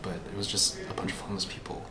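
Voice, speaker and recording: A man telling a story in casual chat, peppered with "like". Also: very fast. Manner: casual, many "like"s. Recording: echoey with loud noise.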